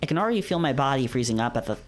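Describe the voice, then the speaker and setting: A man's voice: medium pitch, lively intonation, rapid-fire, faint music behind. A man streaming a casual read-aloud of visual novels, playfully breaking off into jokey, questioning asides.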